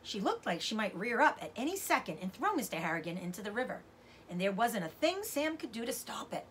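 A middle-aged woman reading a children's novel aloud as a teacher does, with expressive narration. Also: mid-range voice, brisk, a little hiss or hum. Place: indoors, room with bookshelves.

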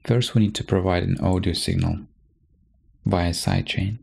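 A man narrating a software tutorial from a script, as a presenter explaining step by step. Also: low voice, fairly even intonation, normal speed, gravelly, slightly rough.

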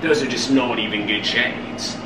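A man acts out a boastful young Australian lad. He drawls slowly in an Australian accent, full of cocky swagger. The recording is roomy and a little distant. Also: medium pitch, steady tone, natural voice. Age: young adult. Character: cocky, laddish young Australian shades lover. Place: small home kitchen. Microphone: camera a few feet away. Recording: roomy, distant camera sound, faint hum.

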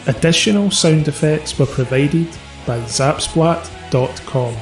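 A man's voice: a little high, unhurried. A man reading scripted end credits as an announcer. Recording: roomy sound. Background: soft guitar music.

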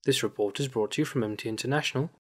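A man narrating a scripted news report as a studio voice-over, in an even, measured way. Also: medium pitch.

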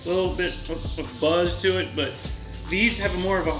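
A man's voice: sits high, steady tone, normal speed, music in the background. A man showing his race car in a workshop, talking casually and repeating words as he goes. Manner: repeats words, restarts, enthusiastic about parts. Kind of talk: casual walkaround chat.

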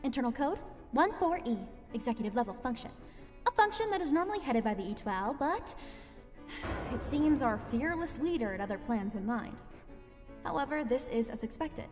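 A woman's voice: a little high, animated, moderate pace, a little mellow, long reverb tail, soft background music, lightly acted. A woman giving wry, matter-of-fact narration of a student council meeting in a fan dub.

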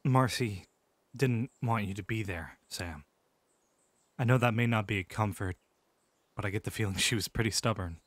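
A man plays a steady guardsman with a fairly low voice who speaks gently and plainly. The recording is dry and close, in an audio drama style. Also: moderate pace, pauses often, no room sound, close to an everyday voice. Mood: consoling. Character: steady guardsman companion Mike, gently reassuring.

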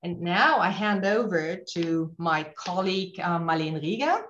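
A woman hosting a workshop, speaking in a hesitant way with filler pauses, introducing a colleague. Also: on the low side, animated, fairly bright tone, a little hiss or hum. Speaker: PR agency workshop host. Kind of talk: webinar presentation. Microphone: webcam on a video call. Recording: roomy, dull video-call audio.

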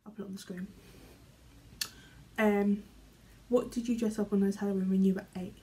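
A young British woman vlogging from her bedroom, reading questions aloud and answering in a chatty, rambling way, often trailing off quietly. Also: medium pitch, fairly even intonation, moderate pace, rough recording. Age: young adult. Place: small bedroom, indoors. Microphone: camera in front, medium framing.

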